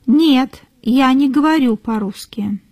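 A woman reading model sentences for learners in a careful, deliberate way, repeating each phrase. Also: high voice, a little mellow, dry recording. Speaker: native-speaker model reader.

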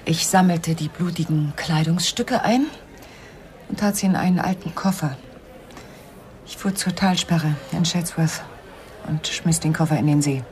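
An actress playing a cool, evasive widow with a guilty secret in a radio crime drama, controlled and often hushed. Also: female voice, sits low, a little slow, long pauses. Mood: grave, confessional. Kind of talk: 1990s German radio crime drama acting.

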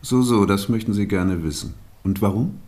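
Actor doing a priest guy in a radio drama, lowish voice, dry and measured, totally unruffled, wry. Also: darkish tone, lightly acted. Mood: guarded. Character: unruffled, wryly formal male parish priest under questioning. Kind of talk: radio play acting.

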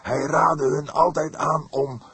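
Fervent, husky, high-strained clergyman. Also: level tone, a bit bright, no echo, heavily acted. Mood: solemn. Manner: emphatic, deliberate, formal phrasing. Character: fierce, pained elderly pastor, indignant and solemn. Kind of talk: audiobook character voice.

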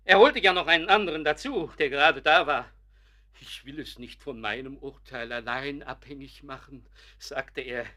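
A man's voice: mid-range voice, moderate pace, bright, forceful tone, lightly acted. A man, an actor in a German radio play, playing an aggrieved middle-aged amateur painter who is wounded, self-doubting and repeats his words bitterly. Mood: indignant, scornful. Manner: agitated, repeats words bitterly, stammering outbursts.

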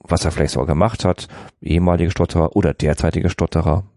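Deep, gravelly male podcast host. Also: fairly even intonation, fast talker, mellow, no echo, clean studio sound. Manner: agreeable, short affirmations. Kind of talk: casual podcast chat.

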